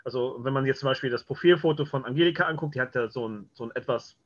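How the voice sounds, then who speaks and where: A man's voice: mid-range voice, fast. A man chatting casually as an interview guest over lo-fi call audio. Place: indoors at home, video call.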